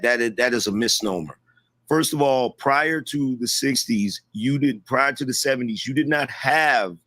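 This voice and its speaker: A man's voice: mid-range voice, a bit bright, no echo. Insistent man in a heated debate. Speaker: debate guest.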